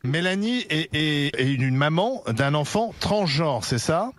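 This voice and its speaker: A man's voice: fairly high pitch, animated, a little slow, no echo, clean studio sound. Male call-in host with brief prompts. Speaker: radio host. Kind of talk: call-in radio show.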